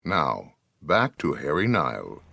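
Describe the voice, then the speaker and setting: A man's voice: a bass voice, wildly swooping pitch, takes it slowly, gravelly. A male announcer reading scripted radio break announcements. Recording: loud music behind.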